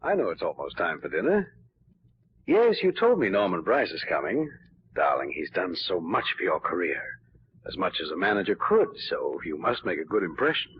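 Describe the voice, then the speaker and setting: An actor playing an intense, adoring artist husband in a 1940s radio drama. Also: male voice, very animated, moderate pace. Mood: tender, adoring. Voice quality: very deep. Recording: muffled, hissy 1940s radio recording.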